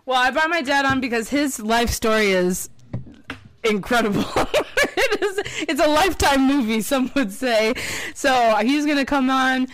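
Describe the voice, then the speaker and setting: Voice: sits high, expressive, unhurried, fairly bright tone, smooth. A podcast host introducing the show and interviewing their father, playful and teasing with frequent laughs. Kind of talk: casual podcast conversation.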